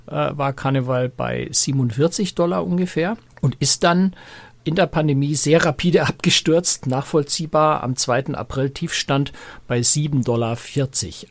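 A man's voice: fairly high pitch, no room sound. A man co-hosting a podcast, explaining things in casual talk. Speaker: podcast co-host and cruise journalist.

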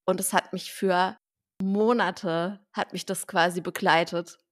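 Podcast host, woman, lively casual talker with lots of fillers and laughs. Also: fairly low pitch, a little slow. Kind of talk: casual chat.

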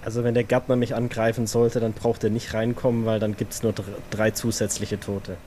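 A man's voice: on the low side, level tone, brisk, dark tone, close to an everyday voice. Man playing a wary vampire, faint background noise. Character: wary male vampire, cautious and distrustful. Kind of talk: improvised tabletop role-play audio drama.

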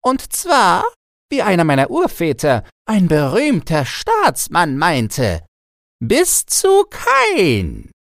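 Tiny squeaky, sly demonic manikin. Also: male voice, huge pitch swings, slow, a bit bright, dry recording, clean studio sound, exaggerated voice. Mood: smug, ingratiating. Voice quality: small, thin voice. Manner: courtly, sly, condescending. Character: tiny grinning uncanny demonic toy manikin, courtly and smug.

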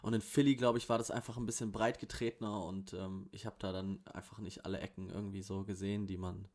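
A man talking in a casual chat, rambling in relaxed slang with many fillers, recorded in an apartment kitchen. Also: sits low, fast talker. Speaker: rapper guest.

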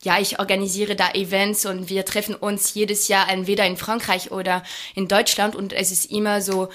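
A young woman from France with a French accent, a podcast guest who speaks warmly and hesitates often. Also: mid-range voice, little pitch movement, normal speed, a little hiss or hum. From Champagne, France. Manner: warm, hesitant, many fillers. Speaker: guest, champagne brand ambassador. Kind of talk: podcast interview.

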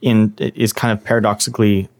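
Low-voiced man giving calm advice. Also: a little fast. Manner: thoughtful, explanatory. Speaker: guest, language learning expert. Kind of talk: interview answers.

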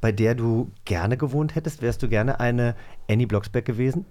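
A man's voice: medium pitch, moderate pace, dark tone. Man co-hosting a casual podcast chat. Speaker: podcast co-host.

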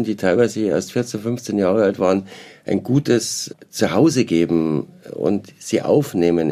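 Youth care worker guy, low dark voice, calm explaining. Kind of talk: interview answers.

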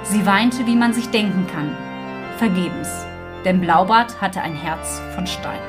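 A woman's voice: medium pitch, unhurried. A woman storyteller reading a scripted fairy tale narration. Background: music.

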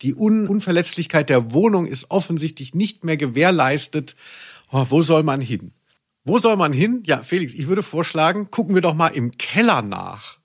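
A man's voice: on the high side, sing-song, normal speed, breathy edge. A man hosting a podcast with casual, humorous commentary, given to ironic mock drama. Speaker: podcast host.

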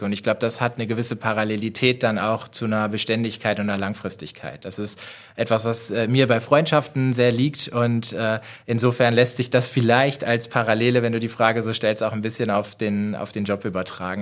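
A man in his forties from Germany, a company director answering interview questions thoughtfully, with frequent fillers and restarts. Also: on the low side. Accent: German (northern German). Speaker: managing director, guest.

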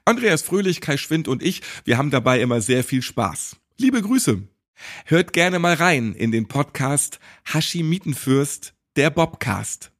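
Male podcast host, highly animated delivery with wide swings in intonation. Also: unhurried. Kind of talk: lively scripted intro and interview.

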